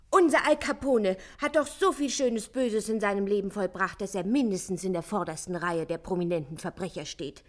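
A woman's voice: mid-range voice, natural voice. A woman playing a solemn, indignant young gangster fan, declaiming earnestly in a satirical 1950s radio play. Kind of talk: 1950s German radio crime satire acting.

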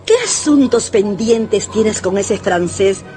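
Radio drama role: haughty old matriarch, mocking and bossy, slow, very animated. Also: female voice, medium pitch. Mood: scornful, probing. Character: imperious, scornful old Russian grandmother, rich and sharp-tongued.

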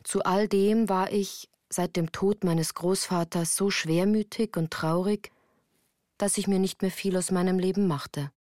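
A woman playing a melancholy narrator who recounts her hard youth in a measured, earnest radio drama style. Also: on the low side, steady tone, darkish tone, no echo, studio quality, close to an everyday voice. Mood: reflective, earnest.

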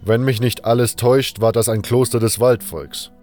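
A man's voice: medium pitch, fairly even intonation, normal speed, darkish tone, faint music behind, close to an everyday voice. A man voicing a blunt, unschooled adventurer in an audio drama, rambling along in a colloquial, unbothered way. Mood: musing, offhand. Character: gruff, carefree male adventurer, unschooled and blunt. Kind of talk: fan audio drama dialogue.

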